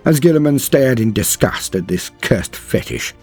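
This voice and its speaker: A man's voice: mid-range voice, lively intonation, moderate pace, darkish tone, slightly rough. A man narrating scripted lore in a solemn, grandiose, dramatic storytelling style. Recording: music under the voice. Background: background music.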